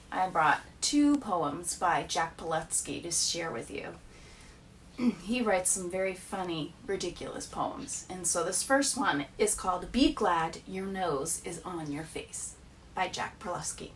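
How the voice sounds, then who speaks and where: Slow, expressive woman reading from a distance. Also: on the low side, slight background noise. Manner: deliberate, expressive storytelling delivery. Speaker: teacher reading poems aloud to schoolchildren. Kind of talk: expressive read-aloud of children's poems.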